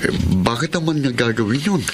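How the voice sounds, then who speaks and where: A man's voice: medium pitch, brisk, over music, lightly acted. A man playing a devoted, worried young suitor in a Filipino drama, speaking earnestly and pleadingly. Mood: doubtful. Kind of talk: Filipino radio-style drama acting.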